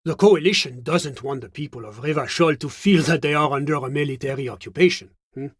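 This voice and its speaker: An actor playing a suave, evasive government bureaucrat in a video game, with a French accent and a formal, polite, measured delivery. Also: male voice, on the high side, a bit bright, breathy edge, dry recording, studio quality. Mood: smooth, evasive. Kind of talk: scripted game character dialogue.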